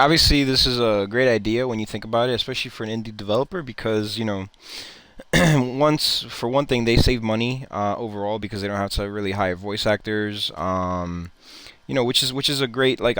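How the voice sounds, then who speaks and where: A man's voice: fairly low pitch, a bit bright, no echo. A man hosting a gaming channel, giving casual, rambling reaction commentary full of fillers and repeated words.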